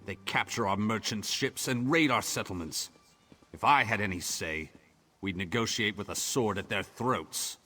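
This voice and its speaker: A man's voice: lowish voice, moderate pace, bright, forceful tone. An actor playing a hot-headed, bitter male elf soldier in a video game, with a scornful, biting manner. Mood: scornful, angry. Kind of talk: video game character dialogue.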